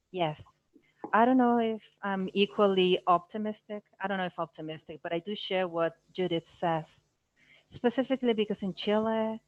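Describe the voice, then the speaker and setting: Dull dry audio, halting woman interpreter. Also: lowish voice, dry recording. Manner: halting, phrase by phrase, self-correcting. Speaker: live interpreter voicing panelists. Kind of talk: live simultaneous interpretation of a panel discussion.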